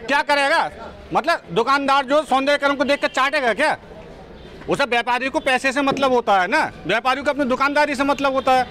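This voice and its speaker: A man's voice: very high-pitched, brisk, pushed and bright. A middle-aged man, a shopkeeper, speaking indignantly into a handheld news mic on an outdoor market street and asking pointed questions. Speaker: shopkeeper at a protest. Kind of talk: street interview. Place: outdoor market street, with a crowd around him.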